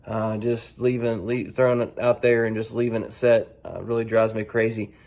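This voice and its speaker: A man speaking casually and haltingly as an angler answering a set of profile questions, restarting phrases as he goes. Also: fairly low pitch, flat delivery, darkish tone.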